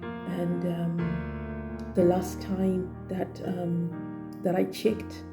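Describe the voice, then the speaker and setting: A woman in her forties with a Zimbabwean accent speaks in a slow, unhurried way, pausing often and reaching for words. Her voice sits a little low and has a gravelly edge. Plucked guitar music plays clearly underneath her. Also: monotone. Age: 40s. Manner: thoughtful, unhurried, with many pauses and fillers. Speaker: vlogger and trainee teacher. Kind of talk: reflective personal vlog.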